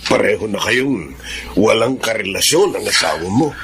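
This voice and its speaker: A Filipino actor playing a caring older brother who gently scolds and counsels in a radio drama. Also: male voice, fairly low pitch, lively intonation, moderate pace, slight background noise. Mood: earnest, advising.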